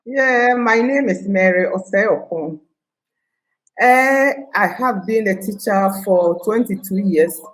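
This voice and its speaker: An older woman from Ghana with a Ghanaian Ashanti accent touched by Scottish, telling of her years as a teacher in a confident, deliberate way. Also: medium pitch, low-quality recording. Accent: Ghanaian, Ashanti (Akan) with some Scottish. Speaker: guest, retired teacher. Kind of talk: interview conversation.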